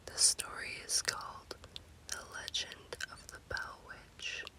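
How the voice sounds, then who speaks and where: Slow, crisp whispering woman, ASMR storyteller. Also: low-quality recording. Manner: hushed, unhurried whispering. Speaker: host and storyteller.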